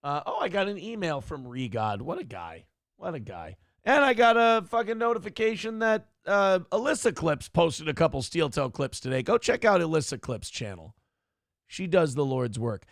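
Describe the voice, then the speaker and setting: Gravelly, lively-voiced male streamer. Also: fairly high pitch, moderate pace, no room sound, clean studio sound. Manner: profane, reads donor messages aloud. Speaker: streamer and show host. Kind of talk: casual livestream commentary.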